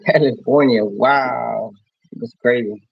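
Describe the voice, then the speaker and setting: A man in his thirties from Mexico, hosting a casual livestream and answering viewers in a rambling, halting way, restarting his phrases often. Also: medium pitch, steady tone, creaky, breathy edge, no room sound, dull audio. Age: 30s. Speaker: livestream host.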